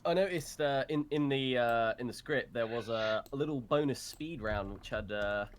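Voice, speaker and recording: A man's voice: mid-range voice, normal speed, a little hiss or hum. A man chatting casually on a live stream as a voice actor.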